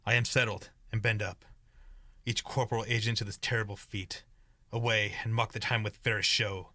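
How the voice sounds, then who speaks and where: A man's voice: lowish voice, little pitch movement, normal speed, bright, forceful tone, dry recording, clean studio sound. A young man acting a troubled, ambitious nobleman who plots murder, in earnest Shakespearean verse for a student radio play. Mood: resolved, grim. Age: young adult. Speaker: student actor.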